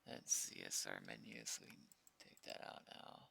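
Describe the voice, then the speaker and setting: A man's voice: very deep voice, fairly even intonation, moderate pace, creaky, sharp tone. A man streaming as he programs a game mod, casually thinking aloud and muttering to himself in short fragments. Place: indoors at a computer desk.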